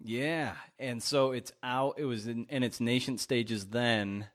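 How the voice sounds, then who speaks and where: A man's voice: mid-range voice, steady tone, slow pace. A man hosting a podcast, introducing and interviewing in a conversational way with playful emphasis.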